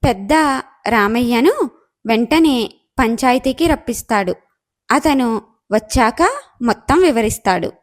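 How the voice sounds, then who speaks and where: A woman's voice: fairly high pitch, expressive, a little slow, long pauses. A woman narrating a scripted moral story as the storyteller.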